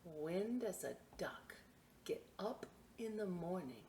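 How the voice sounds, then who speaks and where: A woman reading stories aloud to young children in a playful, expressive way, with chatty asides and questions she often answers herself. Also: lowish voice, takes it slowly. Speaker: children's story time reader at a library.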